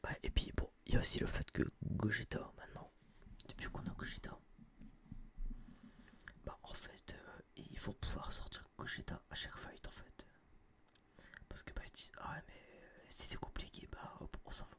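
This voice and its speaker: A young man whispering a casual, opinionated ASMR review in a breathy voice, rambling and slangy, close to a binaural microphone. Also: moderate pace, bright, forceful tone. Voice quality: breathy, mostly unvoiced whisper. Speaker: host of an ASMR film review video.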